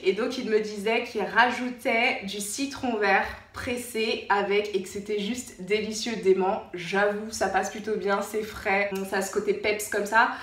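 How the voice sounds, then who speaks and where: A woman's voice: medium pitch, steady tone, a little slow, hardly any pauses, fairly bright tone. A woman from France with a French accent, chatting casually as a vlogger, informal and repeating words for emphasis. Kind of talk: casual chatty show-and-tell vlog. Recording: roomy echo, distant mic, faint noise.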